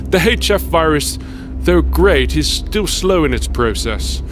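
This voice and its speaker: Guy playing smug ruthless official in a dystopia audio drama, highish voice that swings around, music under it. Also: animated. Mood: smug, mocking. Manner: measured, self-justifying monologue. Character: smug, ruthless male health agency chief justifying mass death.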